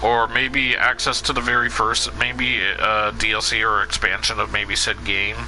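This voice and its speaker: A man's voice: fairly low pitch, level tone, normal speed, pushed and bright, a little hiss or hum, poor audio. A man streaming a video game, chatting to viewers in a casual, rambling way and answering their questions with lots of fillers. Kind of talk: casual let's play commentary.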